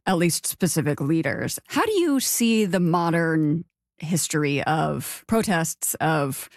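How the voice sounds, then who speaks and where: A radio host with a middle-pitched voice asks curious, conversational questions. The recording is dry and close. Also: lively intonation, takes it slowly, dry recording. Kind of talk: radio interview and presenting.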